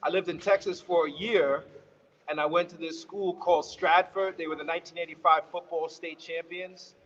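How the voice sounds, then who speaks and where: A man's voice: fairly high pitch, steady tone, normal speed, fairly bright tone. A man from Brooklyn telling his life story as an interview guest, rambling and correcting himself as he goes, with easy laughs. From Brooklyn, New York. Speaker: guest author. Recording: dull sound with long reverb.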